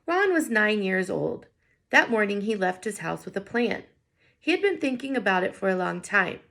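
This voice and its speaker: A woman reading a picture book aloud to children in an expressive way, pausing to think out loud in wondering asides. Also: mid-range voice, gravelly. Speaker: read-aloud teacher.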